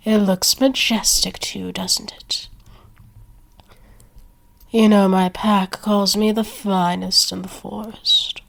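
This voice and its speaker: A woman's voice: medium pitch, little pitch movement, slow, vocal fry, pauses often. A woman acting a proud, lovestruck werewolf in an ASMR roleplay, sardonic toward a rival and coaxing toward a beloved.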